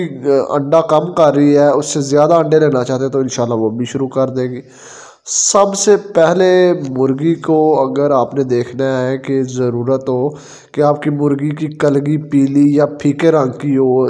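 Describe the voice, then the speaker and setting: A man with a Pakistani Urdu accent giving step-by-step poultry advice in a voice-over, pausing often with fillers. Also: a little high, dark tone. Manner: explanatory, step-by-step with frequent fillers. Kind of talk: instructional voice-over.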